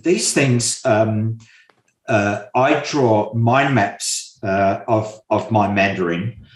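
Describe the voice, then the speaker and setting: A man giving slow, deliberate explanations as an interview guest, repeating key points with hesitant fillers. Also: fairly low pitch. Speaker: guest expert on memory and mind maps. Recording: roomy echo.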